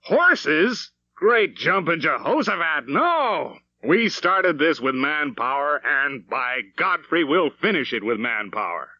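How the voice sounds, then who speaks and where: A man voicing a stubborn, hearty homesteader father with a folksy, forceful, blustering manner in an audiobook. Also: on the high side, big pitch swings, slow pace, few pauses, muffled recording, voice pushed up, exaggerated voice. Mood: defiant shouting.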